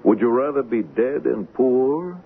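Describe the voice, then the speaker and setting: Swooping, menacing businesslike crook. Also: male voice, mid-range voice, animated, unhurried, vocal fry. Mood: coolly threatening. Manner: coolly threatening, mock-friendly. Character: menacing male criminal, coolly businesslike and falsely friendly. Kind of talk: 1970s radio drama acting. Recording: tinny, faintly noisy 1970s radio recording.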